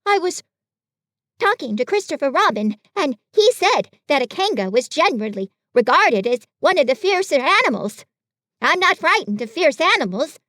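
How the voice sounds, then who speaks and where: A woman's voice: high voice, a bit bright, dry recording, clean studio sound, pitched above the performer's own voice. A woman voicing a timid, very small male piglet in a storybook reading, nervous and stammering.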